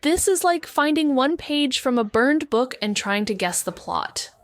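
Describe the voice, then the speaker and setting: A woman's voice: high-pitched, animated, measured pace, dry recording. Matter-of-fact young female sleuth. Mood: thoughtful. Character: dry, sensible young woman amateur detective. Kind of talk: neo-noir audio drama dialogue.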